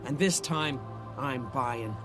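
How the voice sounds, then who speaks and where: An actor playing a gruff beast-soldier who commands a war column with brisk military orders in a video game. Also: male voice, medium pitch, music in the background, natural voice. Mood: solemn.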